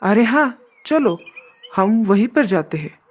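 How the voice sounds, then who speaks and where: A woman's voice: medium pitch, very animated, slow, slightly rough, exaggerated voice. A woman voicing a demanding, businesslike male bird who leads a travelling flock in a children's cartoon story. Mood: surprised.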